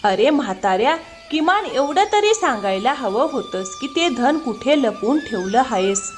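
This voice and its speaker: A woman's voice: high voice, very animated, a little slow. A woman voicing a greedy, bossy, scheming wife in a cartoon moral tale. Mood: scornful, harsh. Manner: bossy, scornful. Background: music.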